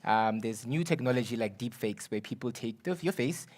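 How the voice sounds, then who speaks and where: A man's voice: mid-range voice, expressive, normal speed, a little mellow. A young man, a student representative from South Africa, speaking confidently and persuasively on a panel. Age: young adult. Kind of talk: panel discussion.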